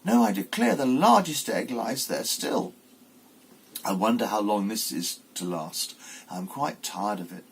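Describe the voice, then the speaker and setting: A man's voice: fairly low pitch, animated, a little slow, vocal fry, slight background noise. A man voicing a proud, protective mother duck in an exclaiming, instructive way, as in a storybook reading. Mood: weary, wondering.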